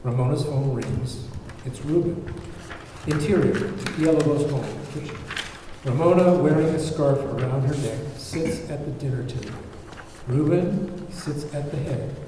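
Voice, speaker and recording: A man's voice: a little high, a little slow, distant mic. A man reading scripted stage directions as narrator at a table read, in an echoey, noisy room.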